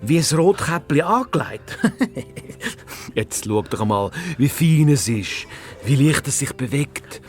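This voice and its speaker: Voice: mid-range voice, expressive, slow pace, pauses often, soft background music. An actor playing a naive, good-hearted hedgehog detective's sidekick with a Swiss German accent, eager yet hesitant, in a children's radio play. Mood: earnest, urgent. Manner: hesitant, artless, easily amazed.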